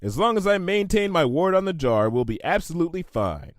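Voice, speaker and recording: A studious unicorn mare of science, acted by a man, confident and clinical as if dictating notes. The storybook voice is raised in pitch, with animated swings and a dry sound. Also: a little fast, no echo. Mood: reassuring. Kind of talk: fan fiction storybook character voice.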